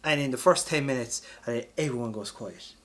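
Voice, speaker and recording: A man's voice: medium pitch, a little fast, fairly bright tone. An Irish man chatting casually as a podcast co-host, blunt and sweary, telling anecdotes. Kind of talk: casual chat, film review.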